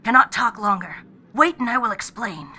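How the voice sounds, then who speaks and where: A woman's voice: on the high side, lively intonation, normal speed, background noise, close to an everyday voice. An actress playing a menacing female caller in a radio play, giving curt, stilted warnings in a strained, harsh voice. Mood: forceful, commanding. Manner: stilted, clipped, commanding.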